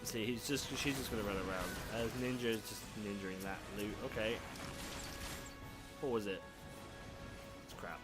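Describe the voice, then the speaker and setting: A young man with a croaky, sore throat, casually commentating a video game, self-deprecating and swearing freely. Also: fairly low pitch, normal speed, long pauses. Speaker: gameplay commentator. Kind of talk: casual let's play commentary. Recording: game music playing behind.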